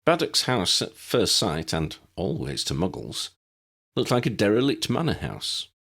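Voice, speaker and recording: A man's voice: fairly low pitch, sing-song, unhurried, vocal fry, fairly bright tone, slightly rough. A man reading scripted story narration as an audiobook narrator.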